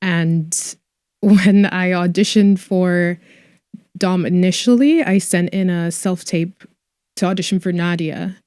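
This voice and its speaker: A woman's voice: on the low side, fairly even intonation, slow pace, a little mellow, smooth, no room sound, clean studio sound. A young woman from Scotland with a mixed Scottish and Canadian accent, chatting as an actress guest, giggly and full of likes and ums. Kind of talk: casual interview chat.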